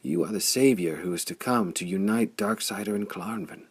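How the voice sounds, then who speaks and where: A man's voice: fairly low pitch, normal speed, slightly rough, no room sound. A man voicing a fierce barbarian warrior in an audiobook, solemn and declamatory as he speaks with reverence. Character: fierce blond barbarian warrior, club bearer, reverent before prophecy. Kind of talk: audiobook character voice.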